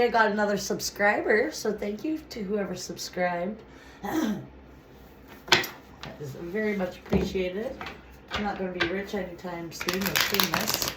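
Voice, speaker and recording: A woman with a stuffy nose from a cold, giving a chatty, rambling tarot reading and reading card messages aloud. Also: medium pitch. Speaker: tarot reader. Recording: echoey room with clear noise.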